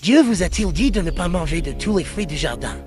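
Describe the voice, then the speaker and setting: A man voicing a sly, coaxing serpent with a soft, seductive voice in an animated Bible story. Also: high voice, brisk, faint music behind. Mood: persuasive.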